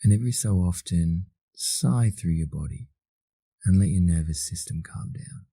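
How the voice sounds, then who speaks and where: Australian man, meditation instructor. Deep, very soft dark tone, soothing delivery with long pauses. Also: expressive, gravelly, husky, no echo. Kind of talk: guided meditation narration.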